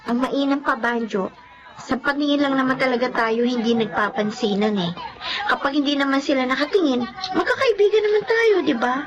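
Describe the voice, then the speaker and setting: A woman's voice: high voice, fairly quick, lo-fi sound, natural voice. A woman playing an agreeable grown daughter in a drama, chatting casually and understandingly with a neighbour. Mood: friendly.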